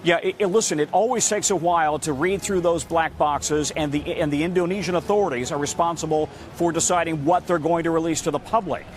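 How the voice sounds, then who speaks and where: A man's voice: on the high side, fairly even intonation, a little fast, hardly any pauses, slight background noise. An American man working as a news correspondent, giving an emphatic, dramatic broadcast report.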